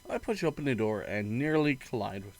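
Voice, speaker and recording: A man's voice: mid-range voice, lively intonation, a little fast. A man streaming a game, reading its narration aloud expressively, sometimes stumbling and breaking into casual asides.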